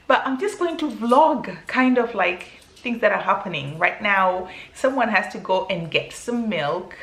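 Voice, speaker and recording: A woman's voice: lowish voice, a bit bright. A woman in her thirties from Zimbabwe with a Zimbabwean accent, chatting casually to camera while she tidies at home. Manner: chatty, laughs easily, talks while tidying. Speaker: vlogger. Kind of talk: casual vlog chat to camera. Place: home kitchen, indoors. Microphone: camera on counter, medium distance. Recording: echoey kitchen with faint noise.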